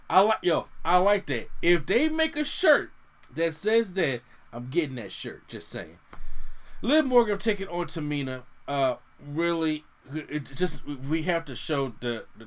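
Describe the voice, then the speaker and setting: A man talking through a weekly wrestling show in a loose, off-the-cuff review. His voice is of middle pitch, and he keeps restarting his sentences as he recaps the action. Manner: animated, loose, with many restarts and quoted paraphrases. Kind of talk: casual solo review.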